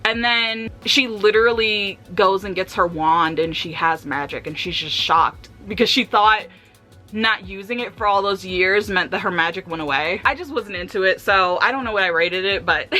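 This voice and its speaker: A woman chatting casually and candidly about books for a vlog, with an animated, slangy, giggly way of talking. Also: mid-range voice, moderate pace, faint music behind, low-quality recording. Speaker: book reviewer vlogger.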